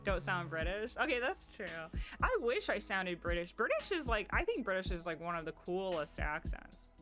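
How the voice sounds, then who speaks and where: A young woman with a light Midwestern accent chats with her stream audience in a loose, rambling way, restarting sentences and leaning on "like". Her voice sits at an ordinary middle pitch, with quiet music in the background. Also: very animated, normal speed. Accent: Midwestern American. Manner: chatty and rambling, heavy on "like" and restarts. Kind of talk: casual stream chat.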